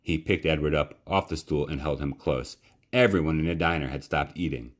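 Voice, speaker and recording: A man reading a children's story aloud in a measured, dramatic, expressive narration. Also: rumbling low.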